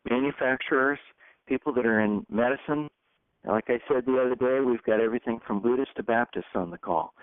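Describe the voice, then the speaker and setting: Male coach and call host, gravelly voice, punchy short phrases, heard on a thin telephone line. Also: on the low side, no room sound. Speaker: facilitator and leadership coach. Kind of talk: hosting a group coaching conference call. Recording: tinny phone conference line.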